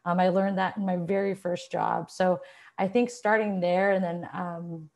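A woman in her thirties speaks through an earphone mic on a video call, her voice sitting a bit low. She tells her story in a loose, chatty way at home. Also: level tone, a little slow, a little mellow. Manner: chatty, lots of "like" and "you know". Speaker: panelist. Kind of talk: casual career talk on a panel. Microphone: earphone headset mic on a video call.

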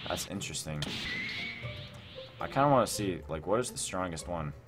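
A young man with a low voice hosts a gaming video. He talks casually through his play, thinking aloud in a rambling way. Music plays clearly behind him. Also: animated, normal speed. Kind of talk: casual gameplay commentary.

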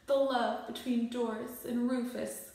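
A woman's voice: on the high side, flat delivery, a little slow, mellow, voice pushed up. Woman doing a timid, raised-voice boy intern. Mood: earnest. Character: timid, earnest, romance-loving boy intern. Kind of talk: children's storybook reading. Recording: very echoey room.

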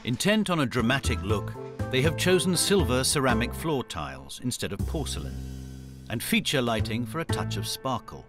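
A British man narrates a documentary in a scripted, polished television style. His mid-pitched voice moves with lively intonation over prominent background music. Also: normal speed. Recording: music under the voice.

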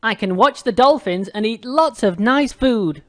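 A woman acting as a keen young American boy in a children's cartoon. The voice is fairly high, very animated and recorded close and dry. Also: a little slow, dry recording. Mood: eager.

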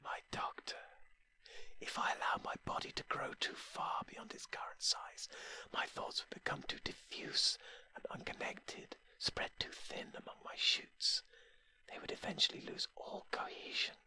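A man whispering as a dying plant creature, breathy and faint. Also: a little slow, bright, forceful tone. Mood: sorrowful. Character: dying female plant being, wistful.

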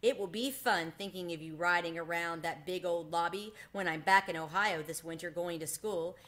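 A woman's voice: lowish voice, normal speed, fairly bright tone, lightly acted. A woman voicing a friendly, generous schoolboy in an earnest, coaxing way for a children's storybook. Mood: glad.

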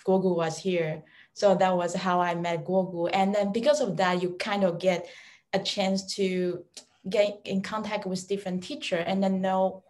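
A woman with a Taiwanese accent shares a personal account on a video call. Her voice sits a little low as she answers as an interviewed volunteer. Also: darkish tone. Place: indoor room on a video call. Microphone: video call microphone.

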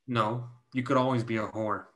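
A man acting a protective, lovelorn brother figure in a staged drama reading over a video call, speaking earnestly and haltingly. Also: medium pitch, steady tone, lightly acted. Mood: hurt, firm. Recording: clear background noise on video call.

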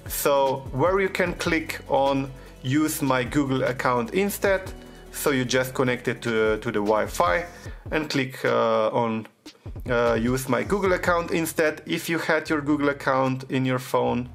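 A man's voice: mid-range voice, unhurried, creaky, soft background music. A man hosting a phone repair tutorial, giving plain step-by-step instructions with frequent hesitations. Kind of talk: step-by-step how-to narration.

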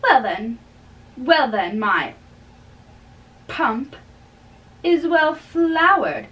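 A young woman giving an amateur dramatic reading of a play, halting and stumbling over words, recorded by a distant camera in a bedroom. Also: sits high, very animated, fairly bright tone. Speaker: amateur reader. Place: small bedroom, indoors. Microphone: far camera. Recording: distant microphone in a room.

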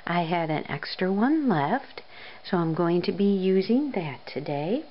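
Slow, slightly scratchy woman hosting a tutorial. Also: lowish voice, big pitch swings, lo-fi sound. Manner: friendly, unhurried, explains step by step. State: hoarse after a cold. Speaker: crochet tutorial host. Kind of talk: casual how-to narration.

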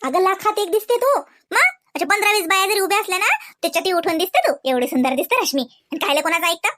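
A woman's voice: very high voice, animated, fast, fairly bright tone. A woman voicing a reassuring, protective, fussing sister-in-law in a comedy cartoon, insistent and chatty, with a Varhadi Marathi accent.

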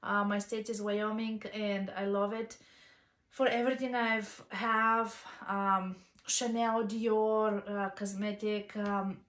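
A woman's voice: mid-range voice, level tone, takes it slowly, fairly bright tone, reverberant. A woman in her thirties from Bulgaria, with a Bulgarian accent, chatting casually in a home fashion vlog, full of ums and uhs. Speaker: fashion vlogger. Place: small living room at home. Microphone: camera's built-in mic, a few feet away.

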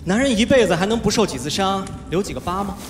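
Cheeky young wuxia swordsman, high swoopy voice, chatty n teasing, live audio drama in a big echoey hall w music. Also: animated, fast. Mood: light-hearted, boastful. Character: playful, cheeky, talkative young male wuxia cultivator. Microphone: handheld microphone.

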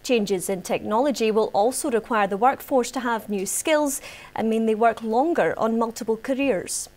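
A woman's voice: a little high, a little mellow. A Scottish woman in her thirties reading scripted news formally as a studio presenter. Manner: formal, measured delivery. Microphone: lapel mic.

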